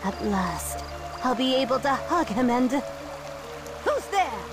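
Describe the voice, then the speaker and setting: Scary old mom villain from a game, really slow and dramatic, loud music. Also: female voice, high voice, sing-song, heavily acted. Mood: proud, wistful. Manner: theatrical, drawn-out, threatening. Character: violent, doting mother of villain, older woman. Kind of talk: video game cutscene acting.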